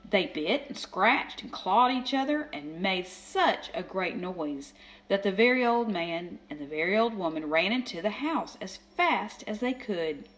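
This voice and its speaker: A woman reading a children's picture book aloud as storyteller, expressive, with dramatic emphasis. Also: mid-range voice, measured pace, low-quality recording. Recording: faint background noise.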